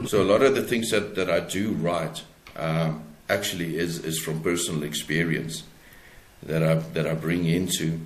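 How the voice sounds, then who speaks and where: Deep-voiced South African man, roomy sound. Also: fairly even intonation. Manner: halting, searching for words. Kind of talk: conversational interview, explaining own book.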